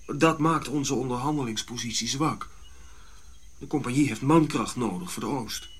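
Radio drama role: male actor as commanding colonial major-general, mid-pitched and rough-edged, brusque schemer. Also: moderate pace, lightly acted. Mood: scheming, pragmatic. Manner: commanding, blunt.

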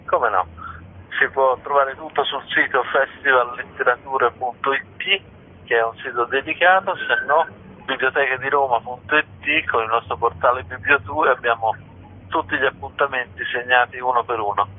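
A man's voice: mid-range voice, normal speed, slightly rough. Man on a muffled, tinny phone line. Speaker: library institution representative, interview guest. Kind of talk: radio interview, informative presentation. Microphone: telephone.

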